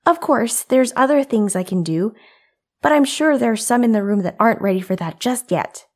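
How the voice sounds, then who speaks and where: A woman voicing an earnest, polite young female android soldier in an animated drama, with formal, sometimes hesitant phrasing. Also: on the high side, moderate pace. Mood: polite, confident. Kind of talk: animated-series voice acting.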